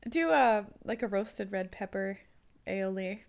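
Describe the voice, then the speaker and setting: A woman co-hosting a relaxed, informal conversation, speaking in an everyday chatty style. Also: medium pitch, measured pace, creaky, clear, resonant tone. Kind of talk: casual chat.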